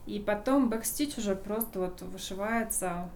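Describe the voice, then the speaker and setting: A woman's voice: mid-range voice, moderate pace, slight background noise. A woman guest on a podcast, an embroiderer and shop owner, chatting casually and laughing often as she tells stories. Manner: lively storyteller, laughs often.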